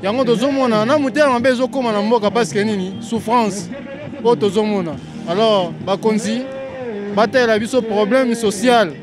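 A man's voice: high voice, fairly even intonation, normal speed, music in the background. A middle-aged man with a Congolese accent giving a street interview into a handheld mic at an outdoor market. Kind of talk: vox pop opinion. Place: outdoor market beside traffic.

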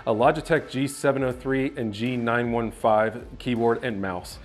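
A man's voice: medium pitch, steady tone, moderate pace. A man in his thirties giving an upbeat walkthrough of his home basement, talking to the camera with a clip-on mic. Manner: upbeat, gestures with his hands while walking and talking to camera. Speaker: tech reviewer giving a home tour. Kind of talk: casual home tour walkthrough. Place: home basement rooms, indoors. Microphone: clip-on lapel mic.

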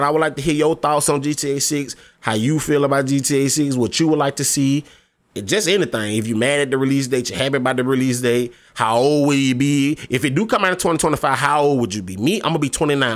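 A man in his twenties talking casually in a gaming vlog, laid-back and slangy, often pleading and repeating himself. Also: mid-range voice. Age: 20s. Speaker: gaming YouTuber.

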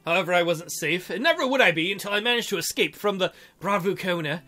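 Male let's-play narrator, bright and high, theatrical reader with joking asides, faint music underneath. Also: animated, quick pace. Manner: jokey, theatrical, breaks into silly mock voices. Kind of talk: reading a visual novel aloud with joking commentary. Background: game music.

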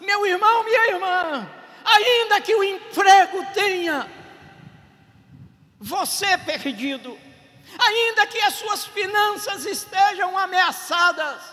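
A middle-aged Brazilian pastor with a Bahian accent, preaching a sermon on a handheld mic at an outdoor stage and building to shouted, repeated phrases. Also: male voice, extremely high pitch, slow pace, big-room sound. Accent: Bahian Portuguese. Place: outdoor stage at a drive-in service.

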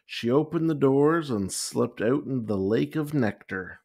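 A man reading a children's picture book aloud with expressive, deliberate storytelling. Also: medium pitch. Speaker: story time reader.

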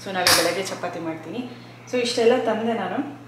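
A woman's voice: mid-range voice, normal speed. A young woman from India vlogging at home in a casual, chatty way, explaining household things one by one. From Karnataka, India. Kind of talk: casual chat. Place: home kitchen, then living room indoors. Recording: echoey room with background noise.

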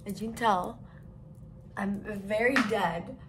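A young woman with a hoarse voice from being sick, chatting to her phone camera in a hotel room in a bubbly, gushing way. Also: mid-range voice, fairly even intonation, slow pace, noisy background. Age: young adult. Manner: bubbly, gushing, talks to viewers as guys. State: hoarse, lost voice from illness. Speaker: travel vlogger. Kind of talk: casual vlog chat to camera. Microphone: handheld phone camera at arm's length.

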